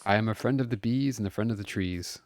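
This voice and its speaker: A man streaming a game, chatting casually with friends, laughing often and greeting viewers brightly. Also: on the low side, fast. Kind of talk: casual livestream chat.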